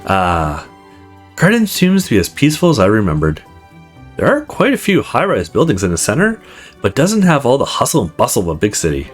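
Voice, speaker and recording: A man's voice: medium pitch, wildly swooping pitch, brisk, soft background music. A man hosting a let's play, reading a visual novel aloud and acting out its lines expressively. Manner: acts out dialogue expressively.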